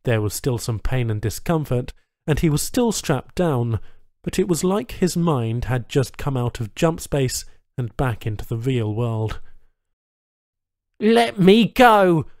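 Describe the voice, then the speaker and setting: A man's voice: medium pitch, lively intonation, unhurried, dark tone, dry recording. A man narrating a scripted story as an audiobook reader, recorded close to the microphone.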